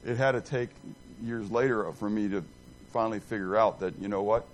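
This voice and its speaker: A middle-aged man teaching a Bible class in a rambling, self-deprecating, animated way, quizzing and joking with his group. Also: fairly low pitch, brisk, slight background noise, low-quality recording.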